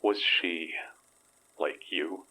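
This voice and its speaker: A man playing a guilt-ridden grieving father in an audio horror drama, halting and sombre. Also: deep voice, steady tone, pushed and bright, heavily acted, low-quality recording. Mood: broken, quiet.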